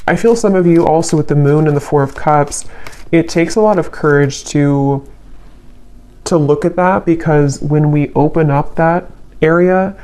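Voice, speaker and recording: A woman giving an unscripted tarot reading in a reflective, halting way, letting her phrases trail. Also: low voice, warm tone. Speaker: tarot reader, channel host.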